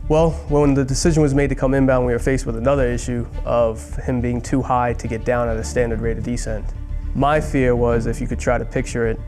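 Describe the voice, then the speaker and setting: A man who works as an air traffic controller, explaining an emergency in a measured interview. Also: medium pitch, flat delivery, a little mellow, soft background music. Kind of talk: interview recounting an event.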